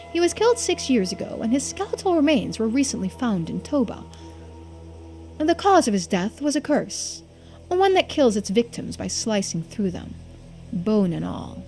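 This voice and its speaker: A woman's voice: on the high side, big pitch swings, moderate pace, a little mellow, soft background music. A woman reading video game dialogue aloud expressively as a streamer, playing out the characters. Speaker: streamer voicing a game playthrough.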